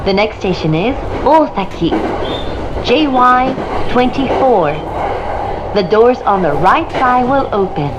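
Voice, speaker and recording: A woman reading a scripted train announcement in a measured, clearly enunciated way. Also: expressive, muffled recording. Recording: loud train noise throughout. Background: rumble of a moving train carriage.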